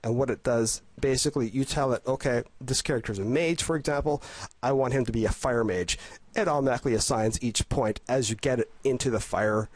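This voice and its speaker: A man's voice: medium pitch, a little fast, no room sound. Congested, nasal man narrating a game demo. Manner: rambling, many fillers and restarts. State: congested from a cold, a little nasal. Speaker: game guide presenter. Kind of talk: casual screen-recorded demo narration.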